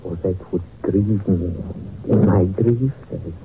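A tinny, phone-thin old radio recording of a dapper little villain. He speaks low, with silky, over-polite formality and veiled menace, as a Levantine blackmailer in a 1940s detective serial. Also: unhurried, soft background music.

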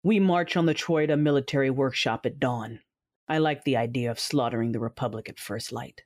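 A man's voice: mid-range voice, brisk, dry recording, studio quality. Cold menacing male narrator. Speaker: first-person narrator and dark side commander. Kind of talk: audio drama narration and acting.

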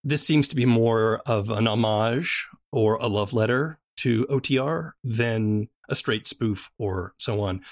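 A man acting as interviewer in a conversational podcast, asking questions in a thoughtful, attentive way. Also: on the low side.

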